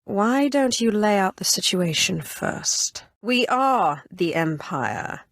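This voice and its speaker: A haughty female Sith for a video game, recorded close and dry. She speaks with cold, clipped authority and open menace, every sentence imperious and ambitious. Her voice sits at a medium pitch. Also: lively intonation, slow, no room sound. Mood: cool calculation. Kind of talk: video game character dialogue.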